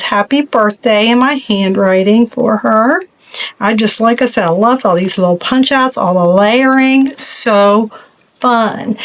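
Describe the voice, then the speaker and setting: A middle-aged woman chatting casually as she shows her craft projects. She rambles with many ums and asides, and her voice is going hoarse. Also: mid-range voice. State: losing her voice. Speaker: craft video host. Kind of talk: casual show-and-tell of crafts.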